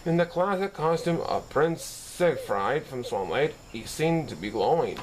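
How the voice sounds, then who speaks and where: A man's voice: fairly high pitch, very animated, unhurried, slight background noise. A man reading a story aloud expressively, occasionally stumbling over a word and correcting himself. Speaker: storybook reader. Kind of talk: scripted story narration read aloud.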